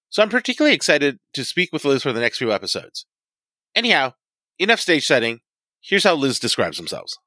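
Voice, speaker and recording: Quick lively podcast host, bright voice, dry close mic. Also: medium pitch, dry recording. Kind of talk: podcast introduction and interviewing.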